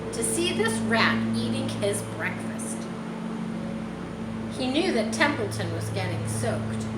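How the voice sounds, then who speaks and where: A woman's voice: on the high side, roomy sound, very noisy. A woman reading a children's storybook aloud expressively, with short chatty asides. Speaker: storybook reader.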